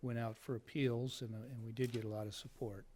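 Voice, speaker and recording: A man's voice: mid-range voice, flat delivery, fairly quick, darkish tone. A man with an ordinary mid-range voice speaks at a town board meeting. He is direct and asks pointed questions. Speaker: board member. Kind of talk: public meeting discussion.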